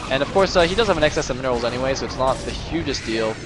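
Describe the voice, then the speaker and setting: A hoarse young man doing solo play-by-play commentary on a game, chatty and rambling, jumping into excited bursts. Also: mid-range voice, rapid-fire, noisy background. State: losing his voice, a little sick. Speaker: esports caster. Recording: music playing underneath.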